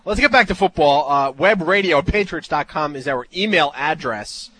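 Man, Jersey accent, bright, slightly high voice, joking sports radio host. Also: animated, moderate pace, no echo. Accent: New Jersey. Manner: joking, teasing. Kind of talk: casual sports radio banter.

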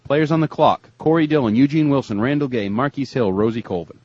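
A man hosting a sports talk show, discussing and reading out emails in a husky voice. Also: mid-range voice, brisk, darkish tone, dull audio. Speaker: sports radio show host.

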